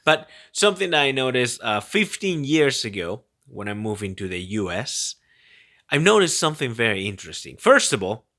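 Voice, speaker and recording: Halting man, bright voice. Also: mid-range voice, very animated. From born in Peru, grew up in Spain. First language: Spanish. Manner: halting and rambling, frequent self-repetition. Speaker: podcast host. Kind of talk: casual solo podcast monologue.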